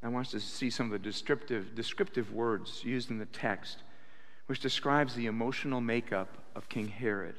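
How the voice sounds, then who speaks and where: A man in his sixties preaching a sermon at a pulpit, animated, with bursts of loud acted quotes, speaking into a stand mic in a church sanctuary. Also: mid-range voice, normal speed. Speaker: pastor. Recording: reverberant church hall.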